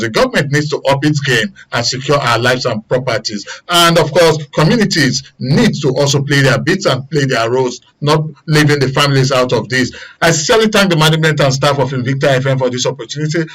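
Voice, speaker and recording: A man's voice: medium pitch, lively intonation, fast, few pauses, fairly bright tone, poor audio. A man with a Nigerian accent hosting a live current affairs programme on radio. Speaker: radio show host.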